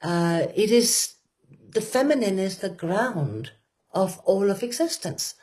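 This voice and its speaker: A woman with a Danish accent speaking earnestly and with passion, pausing often with fillers and restarting her sentences. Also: medium pitch, animated, mellow. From Denmark. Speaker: women's work facilitator. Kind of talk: reflective conversation.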